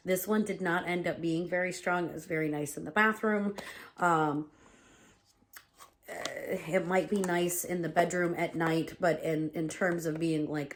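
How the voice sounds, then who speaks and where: Low-voiced congested woman chatting casually. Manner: chatty, full of "um" and "like". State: congested from a cold. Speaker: vlogger reviewing home fragrances. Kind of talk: casual product review chat. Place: at home.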